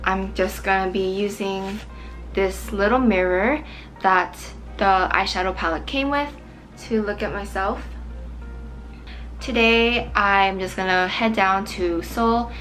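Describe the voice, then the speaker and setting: Young woman, slightly hoarse, chatty makeup talk, soft music behind. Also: mid-range voice, slow pace, poor audio. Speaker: vlogger. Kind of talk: casual makeup chat to camera. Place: small bright room indoors.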